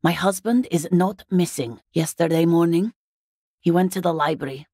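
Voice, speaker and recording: A woman playing a weary, guarded working class wife and mother in a video game, husky, plain-spoken and exasperated. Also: fairly low pitch, moderate pace, mellow, no echo, studio quality. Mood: defensive, irritated. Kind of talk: video game character dialogue.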